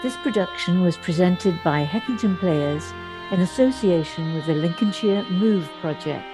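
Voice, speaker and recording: A woman presenter reading scripted credits in a measured announcing manner. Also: on the low side, music in the background, rough recording.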